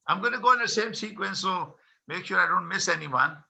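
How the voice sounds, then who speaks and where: Bright, high-pitched man hosting an online meeting. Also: fairly quick, gravelly. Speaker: host of an online conference. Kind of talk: moderating a video meeting. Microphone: video call.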